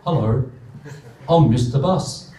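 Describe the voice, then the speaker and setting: An actor playing a downcast, exhausted therapy patient in a staged comedy radio play. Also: male voice, medium pitch, slow, dark tone, lightly acted. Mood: weary, downcast. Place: theatre stage, picked up from afar. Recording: echoey distant stage recording, faint noise.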